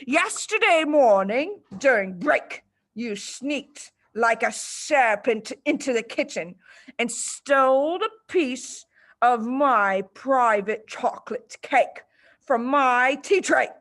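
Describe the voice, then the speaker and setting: Woman putting on booming, bullying tyrant headmistress. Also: animated, fairly bright tone, exaggerated voice. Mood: furious accusation. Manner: barking, booming, drawn-out insults. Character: monstrous bellowing tyrant headmistress, furious and pompous.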